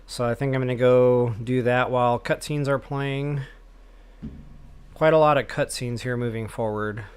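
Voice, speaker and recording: A man's voice: medium pitch, fairly even intonation, moderate pace, clean tone. An American man hosting a gameplay walkthrough, commenting in an informal, candid and explanatory way. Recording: faint game noise behind voice.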